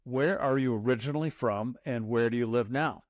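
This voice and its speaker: A man hosting an interview show, reading a smooth introduction and asking questions with some hesitation. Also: mid-range voice, moderate pace, dry recording, studio quality. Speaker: podcast host. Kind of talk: interview host with read intro.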